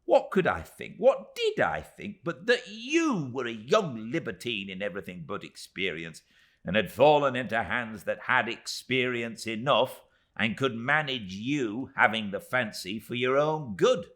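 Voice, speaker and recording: A man's voice: mid-range voice, sing-song, unhurried, a bit bright, pitched above the performer's own voice. A man reading a storybook and voicing a tiny, emphatic, indignant middle-aged woman in distress. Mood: indignant, anguished.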